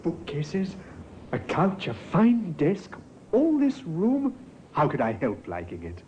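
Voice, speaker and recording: A man's voice: a little high, huge pitch swings, slow pace, mellow, full character voice. An actor playing a polite, pliable and hesitant young clergyman in a 1950s radio drama. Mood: grateful, flustered. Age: young adult. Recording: muffled, noisy old radio recording.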